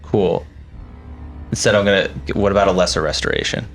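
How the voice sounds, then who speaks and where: Male tabletop player, fairly low, informal and sweary, loose improvised delivery, soft score underneath. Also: fairly even intonation, brisk. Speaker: player in a tabletop roleplaying game. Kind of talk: casual improvised game chat. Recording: faint music behind the voice. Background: background music score.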